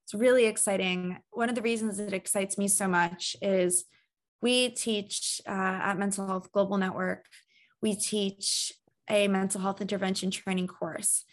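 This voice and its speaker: A woman hosting a conversational interview in an encouraging, measured way. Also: medium pitch, steady tone. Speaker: interviewer, mental health trainer.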